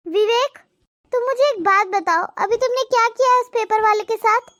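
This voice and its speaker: A woman doing a young housewife, extremely high squeaky voice, curt, cartoon story, dry sound. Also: normal speed, a bit bright, no room sound, soft background music. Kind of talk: cartoon voice acting.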